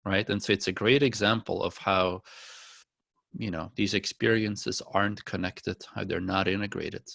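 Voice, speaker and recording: A middle-aged man, a native Dutch speaker, giving a corporate presentation to partners in a relaxed way with many fillers. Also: lowish voice, normal speed, vocal fry, no room sound, studio quality. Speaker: company founder presenting to partners.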